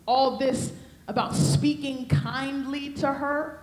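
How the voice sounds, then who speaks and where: A woman's voice: high-pitched, steady tone, slow. Young woman preaching in an echoey hall. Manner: rhythmic, repetitive preaching cadence. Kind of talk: sermon. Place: convention hall stage with podium. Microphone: handheld mic.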